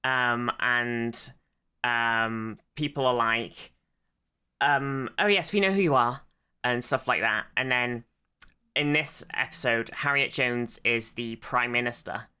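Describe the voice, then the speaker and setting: A young man chatting loosely on a podcast, his voice bright and forward with a smooth, clear tone. He rambles through restarts and "um"s, circling back over his own sentences. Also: medium pitch, slow. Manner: rambling, full of restarts and fillers, giggles while retelling jokes. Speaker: podcast co-host. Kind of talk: casual chat.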